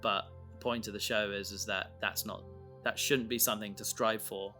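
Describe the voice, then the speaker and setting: A man in a casual podcast discussion, explaining his creative work in a halting way, thinking aloud. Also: on the low side, steady tone, bright, forceful tone, soft background music. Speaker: audio drama creator.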